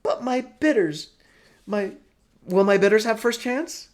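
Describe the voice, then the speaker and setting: A man's voice: high-pitched, unhurried, voice pushed up. A man voicing a flamboyant, snide, teasing character who manages a city, in a storybook reading with mocking exclamations. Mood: teasing, fussy.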